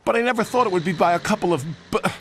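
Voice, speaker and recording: A man's voice: high voice, expressive, very fast, fairly bright tone, slight background noise, pitched above the performer's own voice. A man voicing a bossy, grumpy, scornful crane in a children's story, with a complaining, imperious manner. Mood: grudging, haughty.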